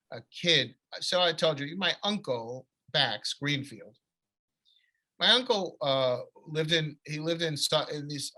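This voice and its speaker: A middle-aged man, an author telling long rambling stories from his family history in a relaxed conversation. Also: mid-range voice, rough recording. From United States. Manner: long rambling anecdotes with restarts. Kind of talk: conversational storytelling.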